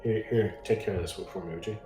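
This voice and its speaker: A man's voice: low voice, fast talker. Distant, echoey man playing a tabletop game. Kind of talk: casual game-table chat. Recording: roomy echo, distant from mic. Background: soft game soundtrack music.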